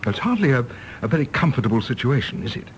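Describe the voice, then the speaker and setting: An actor as a stiff, troubled English gentleman in middle age, from a radio play. He is polite and hesitant, with a lively, swinging delivery over faint background noise. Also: mid-range voice, fast talker, natural voice. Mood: uneasy. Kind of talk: stage play performed as broadcast drama.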